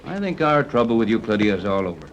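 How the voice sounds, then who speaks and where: An actor playing a confident, decisive ship captain in a 1930s radio adventure serial. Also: male voice, lowish voice, big pitch swings, quick pace, lo-fi sound. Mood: brisk, commanding. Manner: decisive, clipped orders. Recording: noisy 1930s radio recording.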